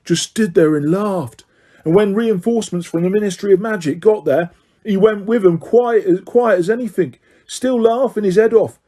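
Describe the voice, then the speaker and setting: Man doing a high, gossipy bus conductor for an audiobook; excitable chatter. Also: moderate pace. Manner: gossipy, breathless, dramatic.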